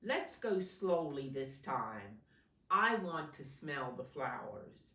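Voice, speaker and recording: A woman's voice: extremely low pitch, big pitch swings, takes it slowly, sharp tone, heavily acted. A woman voicing an eager, childlike young female bear in a children's storybook, with dramatic delivery. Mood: happy. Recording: roomy echo, distant microphone.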